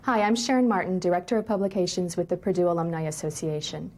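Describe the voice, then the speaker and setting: Quick-talking woman presenting a script. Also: on the low side, steady tone, darkish tone, a little hiss or hum. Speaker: alumni association publications director, presenter.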